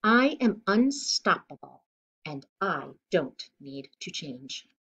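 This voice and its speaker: A middle-aged woman reading a children's picture book aloud in an expressive, deliberate way, recorded indoors at home. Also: lowish voice, husky. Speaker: children's storybook reader. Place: living room at home, indoors.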